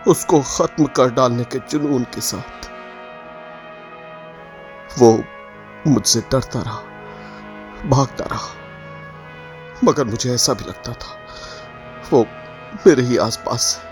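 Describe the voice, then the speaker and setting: An actor playing an exhausted, guilt-ridden, obsessed scientist in a halting, anguished audio drama monologue. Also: male voice, medium pitch, close to an everyday voice. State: exhausted, weak.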